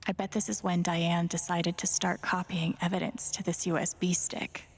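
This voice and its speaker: A woman's voice: on the low side, level tone, moderate pace, breathy edge. An actress playing a sardonic, indignant young woman sleuth thinking aloud in a video game, wry and muttering. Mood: suspicious. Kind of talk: naturalistic game inner monologue. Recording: long reverb on voice.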